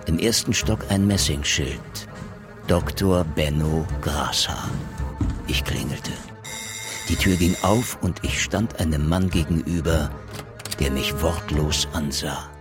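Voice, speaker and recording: A low-pitched male police inspector in a radio crime play. He is formal and dryly courteous, clearly in charge, with music playing underneath. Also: heavily acted. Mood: measured narrating. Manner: formal, measured, dryly authoritative. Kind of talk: German radio crime drama acting. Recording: music behind the voice.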